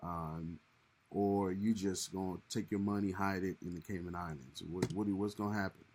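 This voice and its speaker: A man's voice: low voice, steady tone, normal speed, background noise. A young man co-hosting a casual livestream with his wife, rambling and repeating himself as he restarts his sentences. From Haiti. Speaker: co-host of a married couple's livestream.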